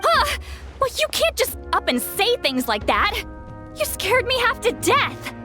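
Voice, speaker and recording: A small young female shaman from a video game. Her voice is high and swings expressively, formal in wording but fretful and proud. Soft music sits behind her. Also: very animated, a little slow, heavily acted. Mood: flustered. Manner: formal wording, easily flustered. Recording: studio voice over background music.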